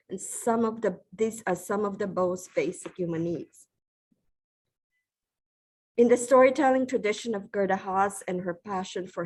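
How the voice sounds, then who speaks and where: A middle-aged woman from the Philippines giving a formal, measured acceptance speech with occasional restarts. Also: on the low side. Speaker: award recipient giving a speech.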